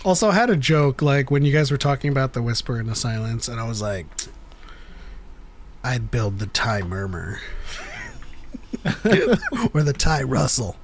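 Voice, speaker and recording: A man's voice: medium pitch, lively intonation, normal speed, pauses often, slight background noise. A man co-hosting a casual livestream chat, reading viewer questions, rambling and joking with frequent laughs. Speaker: podcast co-host reading live chat.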